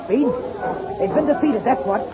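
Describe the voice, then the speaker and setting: A man's voice: very high-pitched, animated, moderate pace, a crowd in the background. A male actor playing an eager, keen boy aviator in a 1930s radio serial, on a noisy old recording. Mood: excited.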